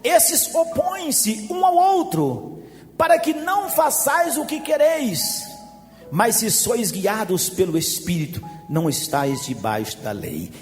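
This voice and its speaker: Male pastor, high voice, emphatic preaching with quiet jokey asides, long hall echo, faint music behind. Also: big pitch swings, slow pace. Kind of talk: sermon.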